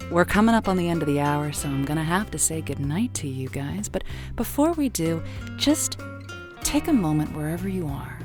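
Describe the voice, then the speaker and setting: An actress playing a flustered late-night radio advice host who stammers and waffles. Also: female voice, fairly low pitch. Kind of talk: audio comedy drama.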